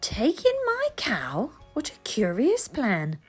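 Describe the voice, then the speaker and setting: A storybook little old lady, acted out by a woman, fretful and exasperated. Her voice sits very high and swoops wildly, stretching words slowly into dramatic pleas and wails. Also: huge pitch swings, breathy edge, faint music behind, full character voice. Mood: puzzled. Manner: dramatic, drawn-out pleading and wailing. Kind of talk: children's storybook character voice.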